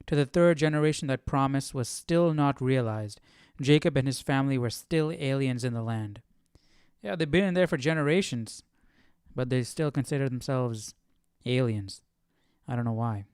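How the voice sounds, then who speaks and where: A man's voice: mid-range voice, moderate pace, darkish tone, clean tone, dry recording, studio quality. A man hosting a livestreamed study, talking casually and questioning as he muses aloud to himself. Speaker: host of a Bible study livestream.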